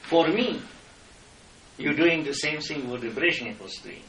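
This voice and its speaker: Middle-aged Czech-accented man, impassioned and lecturing, slightly high, recorded far off in an echoey room. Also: expressive, a little slow, a bit bright, slight background noise. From Czech Republic. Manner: impassioned, lecturing, non-native grammar. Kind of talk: heated argument with officials. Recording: echoey room, mic at a distance.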